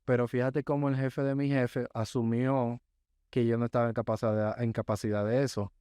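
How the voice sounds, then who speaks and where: Smooth-voiced man telling a story. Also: mid-range voice, flat delivery, dark tone, no room sound, clean studio sound. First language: Spanish. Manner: measured storyteller, often checks in with "right?". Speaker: podcast guest. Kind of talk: casual interview chat.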